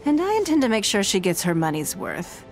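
A woman voicing a poised, shrewd businesswoman in a game, speaking in a composed, measured, elegant way. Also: mid-range voice, big pitch swings, over music. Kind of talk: game dialogue.

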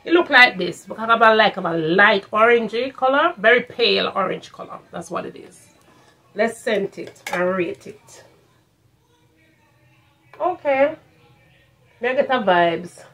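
A woman's voice: mid-range voice, animated, a little slow, pauses often, bright, forceful tone, rough recording. A middle-aged woman with a Jamaican accent reviewing products in a casual vlog, slipping into patois and repeating phrases for emphasis. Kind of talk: casual chat to camera, shopping haul review. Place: furnished room at home, indoors.

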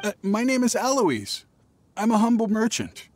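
A shifty young male merchant in a video game. His delivery swings widely in pitch, hesitant and evasive under a smooth front, with faint noise behind. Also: big pitch swings, normal speed. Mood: uneasy, evasive. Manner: hesitant, stammering, falsely smooth. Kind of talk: video game character acting.

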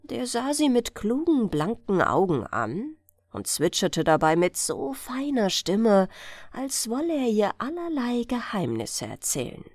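Slow, very animated woman storyteller. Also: fairly high pitch, dry recording, clean studio sound. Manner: expressive, measured storytelling. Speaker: audiobook narrator. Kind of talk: scripted fairy-tale narration, bedtime audiobook.

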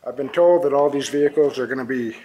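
A man filming a walkthrough of an old barn, narrating in a calm, matter-of-fact way with pauses, recorded on a handheld camera inside a metal barn. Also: medium pitch, lo-fi sound, slight background noise. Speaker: vlogger filming a barn find. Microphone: handheld camera mic.

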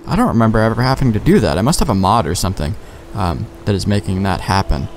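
A man's voice: on the low side, animated, fast talker, slight background noise. A man giving casual, sweary let's play commentary, thinking aloud in a laid-back way. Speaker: gamer, let's play host.

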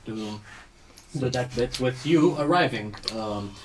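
A man narrating as game master, with many ums and pauses. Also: medium pitch, animated, far from the mic, noisy background. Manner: hesitant, filler-heavy narration. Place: separate room, indoors.

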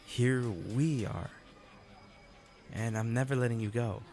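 Man acting as a slow-talking, devoted, teasing boyfriend. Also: mid-range voice, fairly even intonation, soft background music, close to an everyday voice. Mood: teasing, tender. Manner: halting, tender, gently teasing. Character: devoted, teasing, self-blaming boyfriend on a theme park trip. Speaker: voice actor. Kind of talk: one-sided romantic audio roleplay.

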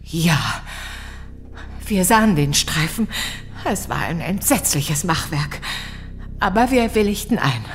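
An actress playing a distraught, blackmailed mother in a horror audio drama, halting and tearful. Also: fairly low pitch, animated, a little hiss or hum. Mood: tense, haunted.

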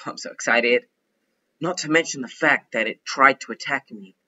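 A young man giving chatty, excitable commentary while playing a game, thinking out loud as he goes. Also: mid-range voice, normal speed, slightly rough, muffled recording. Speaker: gaming youtuber. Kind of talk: casual gameplay commentary.

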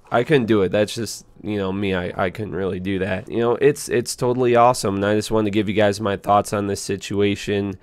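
A man in his twenties with a hoarse voice, speaking casually and earnestly to viewers as a gaming channel host. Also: on the low side, dry recording. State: hoarse, voice strained after a concert. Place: small indoor room at home.

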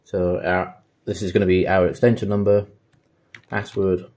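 A man's voice: sits low, flat delivery, normal speed, a little mellow. A man narrating a casual hands-on tutorial as an installer, thinking aloud in an informal way. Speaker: installer demonstrating intercom setup.

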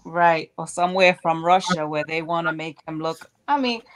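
A woman's voice: sits low, moderate pace. A woman panelist on a video call who questions the sources with skepticism.

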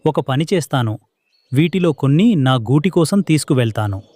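A man's voice: a little high, lively intonation, normal speed, warm tone, dry recording. A man voicing an earnest, hardworking male sparrow, a husband and father, in a children's cartoon story. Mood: reassuring.

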